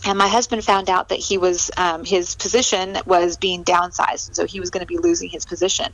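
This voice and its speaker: A woman's voice: lowish voice, fairly even intonation, brisk, no room sound, rough recording. A middle-aged woman from California with an American accent, telling her story as an interview guest in a casual, lightly humorous, self-deprecating way. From California, USA.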